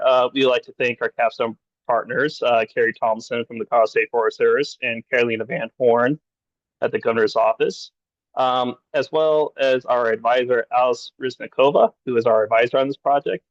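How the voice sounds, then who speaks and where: A man's voice: medium pitch, a little fast, no echo, highs cut off. A young man in his twenties, a graduate student wrapping up a presentation and reading through lists with fillers. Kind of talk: presentation wrap-up.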